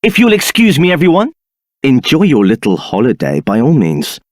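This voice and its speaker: A man's voice: mid-range voice, huge pitch swings, normal speed, no echo, studio quality. An actor playing a scheming, arrogant male templar captain in a video game, speaking in a haughty, condescending manner. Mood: sneering.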